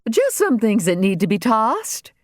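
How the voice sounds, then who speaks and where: A woman voicing a fussy, flustered older wife who talks in a quick, evasive way. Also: a little high, very animated, dry recording, studio quality, voice pushed up.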